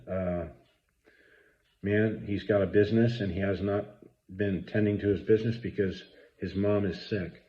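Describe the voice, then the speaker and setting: A man's voice: deep voice, highs cut off. A man, talking earnestly and rambling with many fillers in a casual spoken reflection. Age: 50s. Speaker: vlogger.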